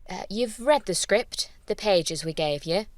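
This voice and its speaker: A woman playing a businesslike casting director running auditions, courteous and smoothing things over, in naturalistic audio drama. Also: medium pitch, expressive, measured pace, no room sound, lightly acted. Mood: diplomatic.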